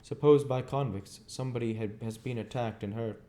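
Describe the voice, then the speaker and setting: A man voicing a pompous, theatrical village gentleman in a storybook, wordy and excitable. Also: mid-range voice, normal speed, voice pushed up, natural voice. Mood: alarmed. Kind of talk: storybook character voice.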